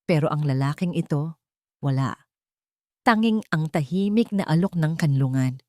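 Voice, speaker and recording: A woman narrates a romantic drama in a fairly low voice. The recording is dry and close, with very little room sound, and the delivery is that of a scripted storyteller. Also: expressive, normal speed, a little mellow, no echo.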